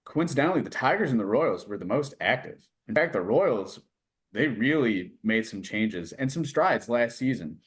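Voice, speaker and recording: A man's voice: mid-range voice, expressive, moderate pace. An American man, a producer conducting a sports interview in a conversational style.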